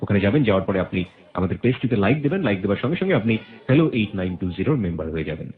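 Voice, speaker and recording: A Bangladeshi man works as a radio interview host, speaking rapidly in a fairly low voice. He is probing in his manner, and the sound is narrow and muffled, like a phone line. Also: sing-song, soft background music.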